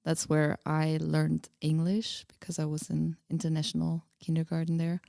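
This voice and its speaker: A woman speaks in a low register with plenty of creak. Her delivery is informal and a bit hesitant, like a musician chatting in a casual interview. The recording is close and dry. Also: completely flat delivery, normal speed, dark tone, no room sound. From Austria. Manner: laughs easily, hesitant and informal.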